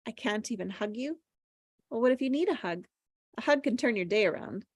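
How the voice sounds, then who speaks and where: A woman's voice: a little high, animated, brisk, dry recording, clean studio sound. A woman acting a sweet, playful young woman in love, earnest and teasing, at a table read. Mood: earnest, persuasive.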